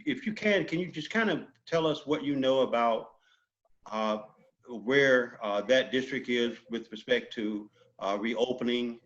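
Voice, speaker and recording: A man hosting an interview who asks long, halting questions full of restarts. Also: lowish voice, highs cut off. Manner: halting, with many restarts and fillers. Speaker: interview host.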